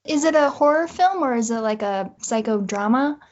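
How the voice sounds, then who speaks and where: A woman's voice: fairly high pitch, lively intonation, normal speed, a little mellow, muffled recording. A woman speaking as a guest writer in a casual interview, thinking aloud in an informal way.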